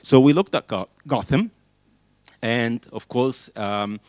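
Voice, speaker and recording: A middle-aged man originally from Egypt, a native Arabic speaker, giving an animated keynote talk on stage with a handheld mic, using many fillers. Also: mid-range voice, slow, noisy background. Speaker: keynote speaker, engineering professor. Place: large hall, on stage at a podium. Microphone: handheld microphone.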